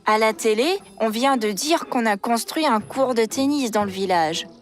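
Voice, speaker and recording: A woman voicing an opinionated, know-it-all young cartoon character, emphatic and matter-of-fact, in an animated dub. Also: on the high side, normal speed, close to an everyday voice. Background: background music.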